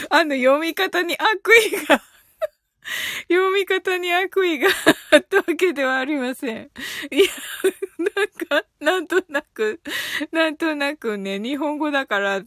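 A woman's voice: high-pitched, expressive, unhurried, fairly bright tone, no echo, studio quality. A woman hosting a casual, chatty livestream English lesson, often giggling as she talks. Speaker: livestream host teaching English phrases. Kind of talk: casual chatty livestream, reading listeners' comments.

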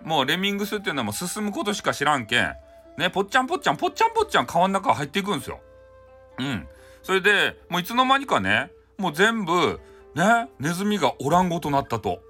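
A man's voice: high voice, very animated, quick pace, pushed and bright, faint music behind. A man with a Hakata accent from Fukuoka retelling a folk tale casually for bedtime, chatty and folksy with frequent asides. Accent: Hakata (Fukuoka) Japanese. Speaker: storyteller, host of audio show.

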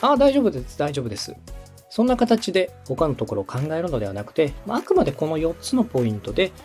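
Guy podcast host giving polite step by step advice, lively intonation, music quietly behind him. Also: a little high, quick pace. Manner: polite, encouraging, explains step by step. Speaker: podcast host and production adviser. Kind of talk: explanatory coaching conversation.

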